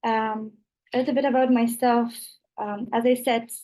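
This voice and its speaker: Young Polish-accented woman, higher-pitched voice. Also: level tone, normal speed, mellow. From Poland. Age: 20s. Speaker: student representative. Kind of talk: informal online presentation. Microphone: video call.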